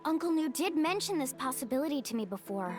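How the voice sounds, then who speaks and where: Game heroine: upbeat young woman, high-pitched and lively, friendly and thoughtful. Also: quick pace, music in the background. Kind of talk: scripted video game dialogue.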